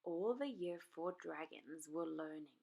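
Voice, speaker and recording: Slow, animated woman reading a storybook. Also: sits low, creaky. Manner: expressive, rhythmic delivery. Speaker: preschool story reader. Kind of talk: children's storybook narration.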